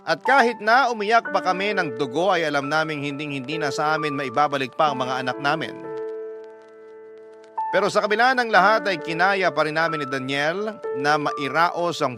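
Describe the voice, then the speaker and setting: Guy reading a sad letter on radio, slightly high voice, music really loud behind him. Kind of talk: emotional scripted first-person storytelling. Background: loud background music.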